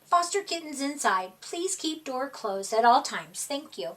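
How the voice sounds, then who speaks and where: A woman with a high-pitched voice reads a children's story slowly and dramatically. She stretches key words for young listeners in an animated storytime delivery. The voice sits a little way from the mic. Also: far from the mic, slight background noise. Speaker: storyteller reading a children's picture book. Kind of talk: expressive children's storytime read-aloud.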